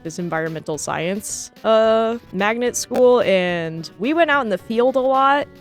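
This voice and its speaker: A young woman with an American accent talks in a casual, chatty way about art. She sounds enthusiastic, with a medium-pitched voice. Soft music plays behind her. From New Jersey, United States. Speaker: artist and video host. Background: background music.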